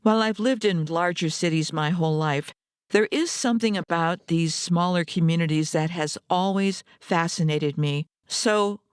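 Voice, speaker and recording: Clear, smooth-voiced American woman podcaster. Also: lowish voice, normal speed, no echo, clean studio sound. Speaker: podcast host. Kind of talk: conversational podcast narration.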